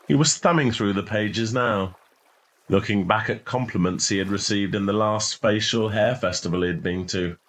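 Low-pitched man narrating a short story.

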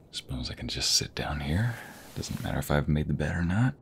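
A man playing a playful, doting young husband in an ASMR roleplay, with a breathy voice that often slips toward a whisper and a teasing, affectionate delivery. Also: very deep voice, slight background noise, heavily acted. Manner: teasing, affectionate, drawn-out delivery with pet names.

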